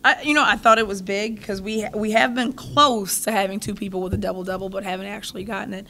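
A woman's voice: medium pitch, steady tone, quick pace, creaky, sharp tone, slight background noise. A woman in her thirties, a basketball coach, answering post-game questions at a press table mic in a thoughtful way, often repeating words as she starts a phrase. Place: press room in front of a logo backdrop. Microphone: table mic in front of her.

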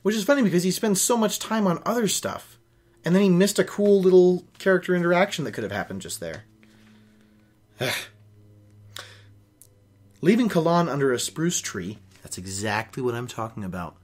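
A man's voice: high-pitched, expressive, moderate pace, pauses often, a little mellow. A young man hosting a reading show, reading a novel aloud and breaking off into opinionated, nitpicking commentary. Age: young adult. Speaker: host of a book-reading show. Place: small home office, indoors.

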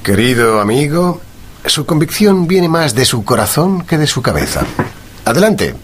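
A man playing a shrewd, composed gentleman detective in a radio drama, dryly witty, with precise formal speech and a husky voice. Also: on the high side, sing-song, moderate pace, a little hiss or hum. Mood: composed, inquisitive.

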